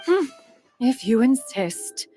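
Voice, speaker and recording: A woman's voice: fairly high pitch, sing-song, slow pace, dark tone, faint music behind. A woman playing a proud, dignified immortal mentor in a video game, speaking in haughty, archaic, formal phrases. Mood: fond, nostalgic. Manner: haughty, formal, refers to self as one.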